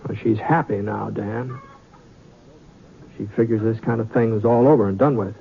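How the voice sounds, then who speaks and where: An actor playing an easygoing, loyal cowboy gunslinger in an old radio western, folksy and hesitant. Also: male voice, lowish voice, steady tone, moderate pace, vocal fry. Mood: worried, earnest. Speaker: lead actor and narrator. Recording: dull, noisy old radio recording.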